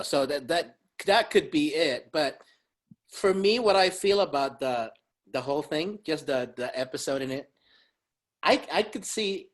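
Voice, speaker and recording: A man from the Dominican Republic, a podcast guest talking casually, rambling with many fillers and laughing often. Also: a little high. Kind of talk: casual chat.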